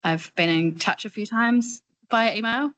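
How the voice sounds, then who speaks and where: A woman's voice: a little high, measured pace, a bit bright. A woman from New Zealand with a New Zealand accent, asking a long, rambling and candid question on a video call webinar. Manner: chatty, rambling, candid and informal. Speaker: audience member asking a question. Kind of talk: webinar discussion.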